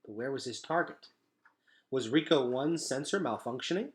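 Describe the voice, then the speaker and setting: A man narrating a children's storybook aloud in a dramatic, emphatic way, dropping to a hush at times. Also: medium pitch, lively intonation, normal speed. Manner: dramatic, emphatic delivery with hushed drops.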